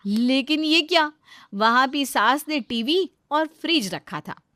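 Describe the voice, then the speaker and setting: A woman's voice: high-pitched, lively intonation, slow pace, sharp tone, no room sound. A woman narrating a scripted comic family story as the storyteller.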